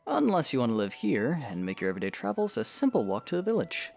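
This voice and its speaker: A man playing a patient young swordsman hero in an audio drama, coaching in a gravelly, encouraging way. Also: mid-range voice, huge pitch swings, fast, a little mellow, soft background music. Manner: patient, instructive, reassuring. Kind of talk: scripted audio drama acting.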